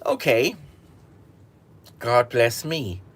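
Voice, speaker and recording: A man's voice: medium pitch, sing-song, very slow, fairly bright tone, slightly rough, exaggerated voice. A man doing a meek, agreeable shepherd. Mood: mild, obliging. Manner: mild, agreeable. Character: meek, stubborn young male shepherd.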